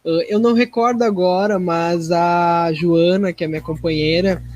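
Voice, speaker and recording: A man's voice: high voice, steady tone, moderate pace, darkish tone, dry recording, lo-fi sound. A man answering casually in an interview, thoughtful and a little hesitant. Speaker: podcast guest, theatre performer.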